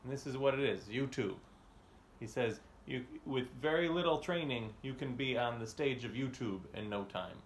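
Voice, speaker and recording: A man in his thirties giving a casual music lesson at home, talking in a relaxed, chatty way with fillers and chuckles as he thinks aloud. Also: mid-range voice, normal speed, a little hiss or hum. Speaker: music teacher. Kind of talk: casual practice lesson between playing. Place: home room with bookshelves, indoors. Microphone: phone or camera on a stand nearby. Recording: home room recording with loud marimba. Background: marimba playing.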